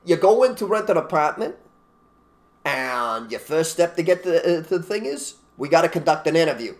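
Man, ranting co-host, emphatic, slightly high voice, casual chat. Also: animated, moderate pace. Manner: ranting, emphatic, mocks others in put-on voices. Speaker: podcast co-host.

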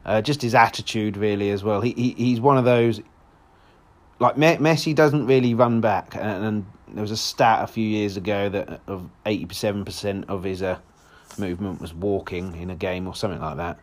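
A man with a hoarse voice, hosting a solo football podcast in a rambling, casual way with lots of restarts. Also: on the low side, fairly even intonation, normal speed. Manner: rambling, frequent restarts and fillers.

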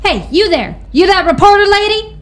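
Self-styled camp duchess, played by an actress: high-pitched, eager, grandly formal with a folksy streak. Radio drama. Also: female voice, big pitch swings, moderate pace. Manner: mock-regal formality mixed with folksy talk. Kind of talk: radio play acting.

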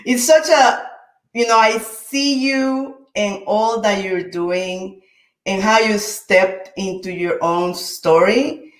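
A woman hosts an interview show in an earnest, rallying tone. Her voice sits at an ordinary pitch. The room around her rings with noticeable echo. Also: takes it slowly. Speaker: show host.

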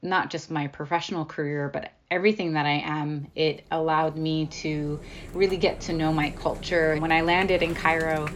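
A woman's voice: low voice, steady tone, normal speed, slight background noise. A woman journalist from the United States telling personal stories in a thoughtful, reflective way in an interview. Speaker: journalist guest.

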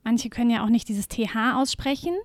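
A woman's voice: fairly high pitch, fairly even intonation, a little fast, no echo, studio quality. A German woman co-hosting a podcast, chatting casually with her co-host and often using fillers and laughing. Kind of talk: casual chat. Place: home room with windows closed.

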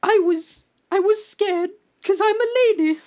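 A woman's voice: extremely high pitch, unhurried, darkish tone, voice pushed up. A woman playing an outspoken, indignant farmer's wife in a comic radio play, exaggeratedly ladylike. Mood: frightened, earnest.

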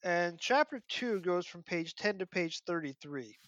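A man's voice: sits high, expressive, unhurried, a bit bright, dry recording, dull audio. A man hosting a podcast, discussing books in an analytical, enthusiastic, conversational way. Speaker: podcast host.

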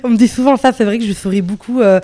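A woman's voice: fairly high pitch, fast talker, mellow. A woman in her late twenties from northern Italy, a guest chatting casually and bubbly in an interview, laughing easily and full of fillers. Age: 20s.

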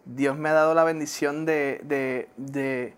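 A man's voice: fairly high pitch, fairly even intonation, unhurried, smooth. A young man with a Puerto Rican Spanish accent, a guest in a casual interview, who talks hesitantly, tags his sentences with "¿verdad?", laughs often and slips into English. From born in New Jersey, raised in Puerto Rico.

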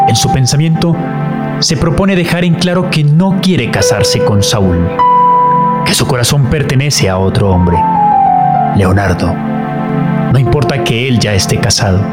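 A man delivering scripted, dramatic narration for a radio drama. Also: medium pitch.

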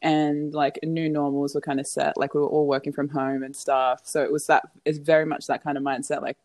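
A woman's voice: deep voice, flat delivery, brisk, a little mellow, no echo. A young Australian woman with an Australian accent, a podcast guest chatting in an easygoing way with hesitant fillers. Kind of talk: casual interview chat.

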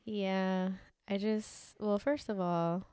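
A young woman co-hosting a podcast in casual chat, a little congested from allergies, chatty and quick to laugh. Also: mid-range voice, steady tone, warm tone, clear, resonant tone, dry recording. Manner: chatty, laughs often, lots of fillers. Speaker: podcast co-host.

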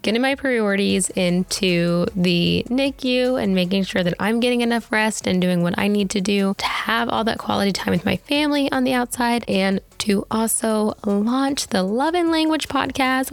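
A woman hosting her own podcast, chatting to listeners with bubbly enthusiasm, her voice a little raspy from a sore throat. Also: mid-range voice, dry recording. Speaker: podcast host. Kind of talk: casual solo podcast talk.

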